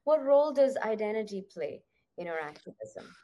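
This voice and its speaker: A woman's voice: medium pitch, sing-song, normal speed, warm tone, highs cut off. A woman interviewer with a mid-range voice asks long, reflective questions. She winds through her thoughts, dotting them with "you know" and "sort of". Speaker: podcast host, interviewer.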